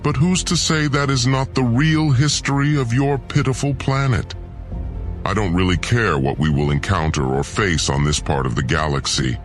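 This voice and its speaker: A man's voice: on the low side, fairly bright tone, natural voice. A man voicing an arrogant, scheming alien prince, pompous and sneering, narrating his own audio drama.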